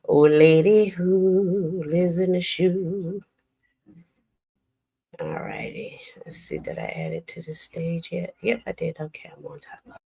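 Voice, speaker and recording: Slow, low-voiced older woman. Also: animated, creaky, mellow, breathy edge. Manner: slow, reflective, rambling with many pauses. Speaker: livestream host. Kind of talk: casual late-night livestream chat.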